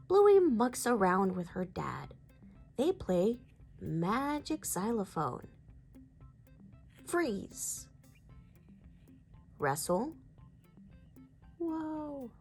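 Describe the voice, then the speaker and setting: A woman's voice: mid-range voice, a little mellow. A woman reading a children's storybook aloud as scripted narration.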